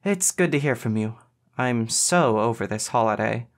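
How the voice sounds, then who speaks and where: A man voicing an earnest, expressive and caring teenage girl in audiobook dialogue. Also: medium pitch, normal speed, close to an everyday voice. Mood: eager, emphatic.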